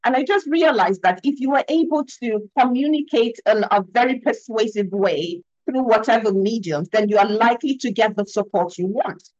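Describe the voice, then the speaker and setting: A woman's voice: on the high side, normal speed, hardly any pauses, a little mellow, no room sound. A woman from Nigeria with a Nigerian accent, a communication expert explaining her ideas earnestly on a video call, often tagging "yeah?" onto her points. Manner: earnest, emphatic, frequent "yeah?" tags. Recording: dull, slightly lo-fi dry sound.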